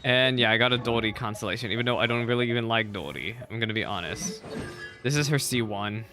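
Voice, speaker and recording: A man casually streaming a game, chatty and excitable, repeating himself often as he talks. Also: mid-range voice, fast, music in the background. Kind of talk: casual livestream commentary.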